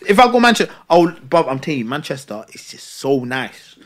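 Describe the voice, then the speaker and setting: A young man, co-hosting a podcast. He jumps up and down in pitch with lively swings. He talks loud and laughing, full of repeated fillers. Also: brisk. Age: 20s. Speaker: podcast co-host. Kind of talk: casual chat.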